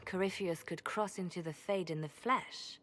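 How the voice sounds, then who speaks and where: A woman portrays a strategic female spymaster in a fantasy game. The delivery is serious and purposeful in a somewhat low voice, with faint noise in the background. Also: normal speed, a bit bright, close to an everyday voice. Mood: grave. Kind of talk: dramatic video game acting.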